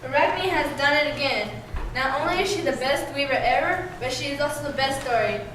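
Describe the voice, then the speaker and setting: Woman as an awestruck villager, high and echoey. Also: brisk, natural voice. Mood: admiring. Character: awestruck villager judging a weaving contest. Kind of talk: readers theatre stage performance. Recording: echoey room, distant mic, noisy.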